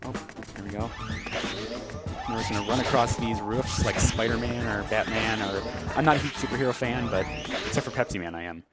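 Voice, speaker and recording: Man commentating a game over loud music. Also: fairly low pitch, a little fast. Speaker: speedrunner commentating on own game. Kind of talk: casual live game commentary. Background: loud video game music and sounds.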